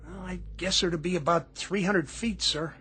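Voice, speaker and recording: An actor playing a dutiful, plain-spoken navy bosun who reports respectfully in a radio drama. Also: male voice, sits high, moderate pace, sharp tone, slight background noise. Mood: reporting, respectful. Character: dutiful, hardy male navy bosun diver.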